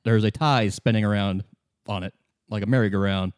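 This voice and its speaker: A man's voice: on the low side, steady tone, fairly quick, clean tone, no echo, clean studio sound. A man narrating a tabletop role-play as game master in a conversational style.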